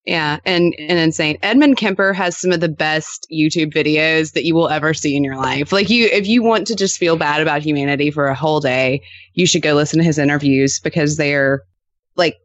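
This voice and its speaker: A woman chatting casually as a podcast guest, telling animated anecdotes and acting out reactions in quotes. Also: deep voice, a little fast, no room sound, lo-fi sound. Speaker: podcast co-host appearing as guest. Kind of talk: casual interview chat.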